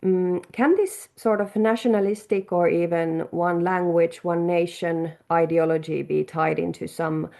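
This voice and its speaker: A woman hosting a webinar, calmly putting prepared questions to a guest. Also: fairly low pitch, a little slow, gravelly, hardly any pauses. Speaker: webinar host. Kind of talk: interview questions. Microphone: video call.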